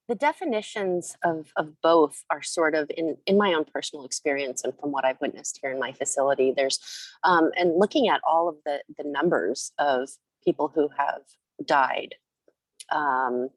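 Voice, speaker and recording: A woman who lives in a long-term care home, speaking up in an online meeting in a halting way with many restarts. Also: deep voice, dry recording, rough recording. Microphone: video call.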